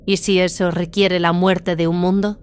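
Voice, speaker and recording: A woman's voice: fairly low pitch, flat delivery, normal speed, slight background noise, lightly acted. A woman playing a resolute, devout warrior sister in an audio drama, speaking firmly and formally with a commanding manner. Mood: firm, defiant.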